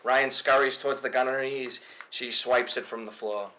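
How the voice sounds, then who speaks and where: A man's voice: mid-range voice, steady tone, normal speed, sharp tone. A man reading stage directions aloud as narration at a film table read.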